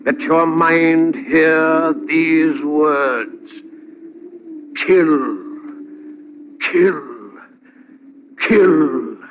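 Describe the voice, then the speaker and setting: An actor playing a small, vengeful hypnotist villain in an old radio drama, giving slow, menacing commands. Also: male voice, on the high side, fairly even intonation. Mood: menacing, commanding. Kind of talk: old-time radio acting. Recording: noisy, dull old radio recording.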